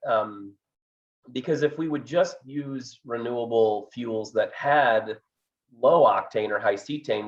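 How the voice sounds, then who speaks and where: A man giving a research talk as an engineering professor, hoarse from a cold, speaking hesitantly with many fillers. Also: fairly low pitch, muffled recording. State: hoarse, getting over a cold.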